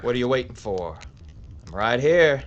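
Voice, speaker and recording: An actor playing a cool Old West gunfighter who speaks in a calm, unhurried, mocking drawl. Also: male voice, medium pitch, muffled recording, a little hiss or hum, lightly acted. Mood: taunting.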